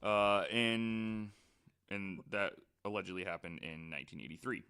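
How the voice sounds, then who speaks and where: A man guest co-hosting a podcast, telling a story haltingly with dry jokes. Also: lowish voice, fairly even intonation, pushed and bright, clear, resonant tone. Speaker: guest co-host. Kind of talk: casual chat, telling a story.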